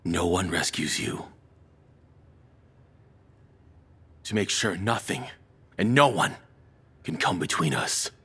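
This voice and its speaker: A man performs a lovestruck, unhinged yet apologetic male captor in an intimate audio drama. His voice sits low and is very breathy and rough-edged. He rambles and stammers nervously, his intonation swinging widely as he goes. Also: lively intonation, a little slow, a bit bright, exaggerated voice. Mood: obsessive, lovestruck. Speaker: audio roleplay voice actor.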